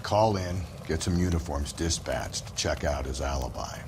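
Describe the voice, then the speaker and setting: Casting: world-weary American veteran cop, low register, crime game, clear background noise. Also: male voice, animated, moderate pace. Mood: jaded, blunt. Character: jaded, chauvinist veteran 1940s police detective. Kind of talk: dramatic video game acting.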